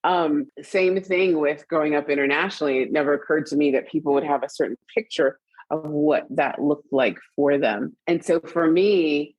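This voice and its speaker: A woman with a standard US accent, a guest in an interview, talking in an animated, chatty way and laughing often. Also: deep voice, moderate pace, no echo, muffled recording. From Trinidad and Tobago and Costa Rica, raised internationally. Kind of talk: conversational interview.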